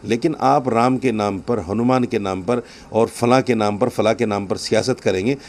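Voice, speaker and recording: A man's voice: lowish voice, normal speed, a little mellow, a little hiss or hum. A man, a religious leader, giving a press statement in an admonishing way with repeated emphatic phrases.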